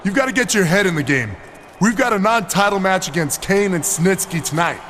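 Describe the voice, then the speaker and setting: A man's voice: high voice, lively intonation, fairly quick, fairly bright tone, slight background noise. A man voicing a cocky, vain muscleman wrestler in a video game cut scene, boastful, smug and showy. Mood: bossy, urging. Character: cocky vain male muscleman wrestler, self-styled masterpiece.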